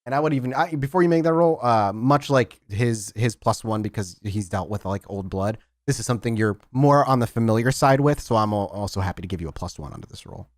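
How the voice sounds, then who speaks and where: A man's voice: fairly low pitch, a little fast, few pauses, dry recording, studio quality. A man narrating a tabletop roleplaying game as game master, emphatic and descriptive, often rephrasing as he goes.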